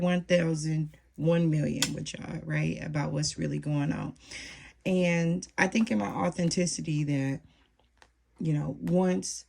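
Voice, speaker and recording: A woman's voice: low-pitched, gravelly, a little mellow. An American woman in her thirties talking casually and candidly to camera as a budgeting vlogger, often checking in with the listener, recorded on a phone. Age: 30s. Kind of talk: casual, personal talk to camera.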